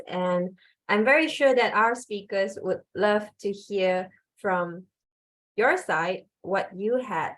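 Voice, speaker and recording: A woman emcee moderating an online conference over a video call, polite and hesitant with many fillers. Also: mid-range voice.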